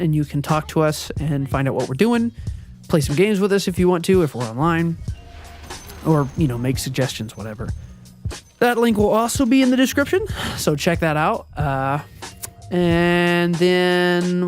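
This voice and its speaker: A man with a high, youthful-sounding voice talks through channel updates in a rambling, hesitant way, full of ums and self-corrections. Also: animated, normal speed, soft background music. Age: adult. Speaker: channel owner and video editor. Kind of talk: casual channel update monologue. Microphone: voiceover recorded before the gameplay footage.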